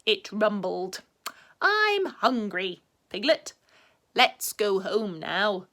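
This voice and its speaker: A gentle, simple storybook teddy bear who speaks slowly and mildly, as a Scottish woman puts him on. His voice lilts up and down. He stays sweet and unhurried. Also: medium pitch, sing-song, heavily acted. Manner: slow and mild.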